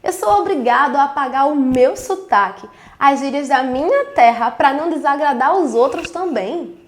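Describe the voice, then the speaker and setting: An indignant young male student, put on by a woman teacher, pressing question after question. His voice sits a little high and carries a roomy echo. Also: animated, moderate pace. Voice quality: pleading, questioning. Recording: echoey room.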